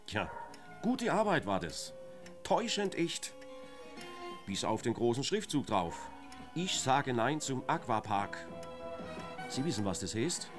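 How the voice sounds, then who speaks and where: An actor playing a dogged, dry-humoured police detective in a radio drama, blunt and colloquial. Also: male voice, medium pitch, sing-song, takes it slowly, pauses often, music in the background. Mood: dry, accusing.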